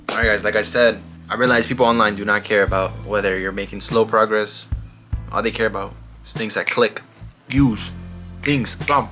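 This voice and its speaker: A young man casually talking through his trading progress in a vlog, laid-back and repetitive, often saying "bro" and "you know". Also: lowish voice. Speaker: day trading vlogger.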